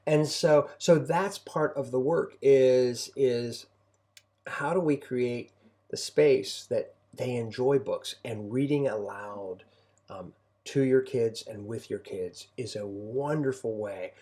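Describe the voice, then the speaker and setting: A man's voice: medium pitch, takes it slowly, dark tone. A middle-aged man speaking casually as a co-host and homeschooling parent, giving advice in a reflective, encouraging way. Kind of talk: casual conversational advice talk.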